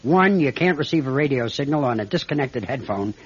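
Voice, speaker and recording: An American airline captain in an old radio play, a man of earnest, clipped speech and troubled resolve. His voice is husky and mid-pitched. The recording is dull and lo-fi. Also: animated, a little fast. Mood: tense, insistent. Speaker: radio drama actor. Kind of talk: classic radio drama acting.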